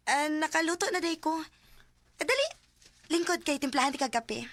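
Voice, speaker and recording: High, bright young Cebuano woman. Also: normal speed. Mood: friendly. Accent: Cebuano Filipino. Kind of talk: radio drama acting.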